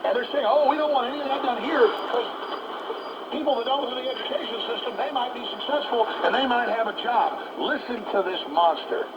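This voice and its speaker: A man's voice: extremely high pitch, brisk. A man hosting a talk show, delivering an indignant, emphatic political commentary on a muffled, noisy shortwave radio broadcast.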